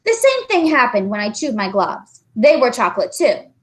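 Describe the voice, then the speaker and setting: A woman plays an anxious young schoolboy in a storybook. The voice climbs high and swings widely as he earnestly pleads to be believed, protesting with great emphasis. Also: big pitch swings, moderate pace. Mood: pleading.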